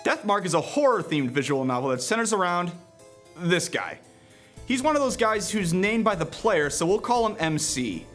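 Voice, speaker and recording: Male reviewer, higher-pitched and very lively in intonation, playful scripted narration with casual asides, faint music underneath. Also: normal speed. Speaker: video game reviewer.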